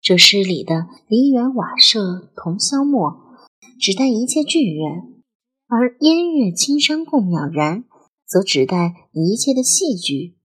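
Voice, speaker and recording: A woman playing a lively, playful and chatty nineteen-year-old girl in an audio drama. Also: medium pitch, slow pace. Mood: explaining, thoughtful. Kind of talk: Chinese audio drama acting.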